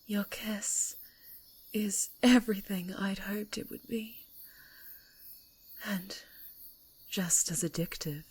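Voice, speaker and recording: A woman playing an obsessive villainess superhero in an intimate, theatrical ASMR roleplay, with breathy, halting delivery. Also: mid-range voice, steady tone. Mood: tender, breathless. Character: obsessive yandere superhero villainess, pleading and enraged.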